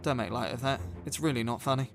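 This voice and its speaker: An actor playing a troubled author who stands up for himself, speaking earnest, declamatory rhyming lines in an audio drama. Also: male voice, mid-range voice, quick pace, gravelly, faint music behind, lightly acted. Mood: uneasy, reproving. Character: troubled male author standing up to his characters.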